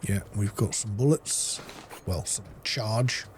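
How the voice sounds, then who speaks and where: A man's voice: fairly low pitch, slow pace, slight background noise. A man giving casual let's-play commentary, talking viewers through each step in an informal, instructional way. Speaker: game streamer and playthrough host.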